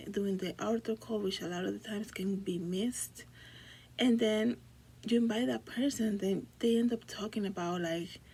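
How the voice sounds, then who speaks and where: A woman from the Dominican Republic speaks casually to her online viewers. Her mid-pitched voice is husky and full of creak. She talks hesitantly, often searching for the right word. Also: a little mellow, low-quality recording. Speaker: video blogger. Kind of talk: casual personal talk to viewers.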